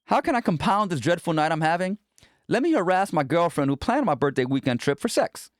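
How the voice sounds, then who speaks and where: A man narrates a memoir in a slightly high voice with very animated intonation. The delivery is blunt, wry and conversational, and the sound is dry and close. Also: fairly quick, no echo. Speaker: narrator reading a book chapter aloud. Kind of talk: scripted first-person memoir narration.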